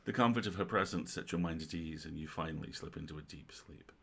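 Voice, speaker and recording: A man's voice: rumbling low, fast, gravelly, a bit bright, slightly rough. A man co-hosting a livestream, moving between casual chat and reading game narration aloud.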